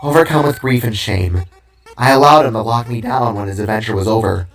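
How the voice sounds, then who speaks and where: A man's voice: fairly low pitch, a little fast, gravelly, recorded from a distance. A man voicing a tiny talking cube artifact from a story, talkative yet hesitant, weary and sorrowful. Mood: regretful. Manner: talkative, hesitant, fumbling for words. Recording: background music under the voice.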